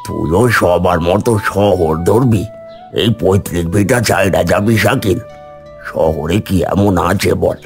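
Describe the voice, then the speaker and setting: A man voicing an earnest, caring older village father who persuades gently in a cartoon story. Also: low-pitched, vocal fry, heavily acted.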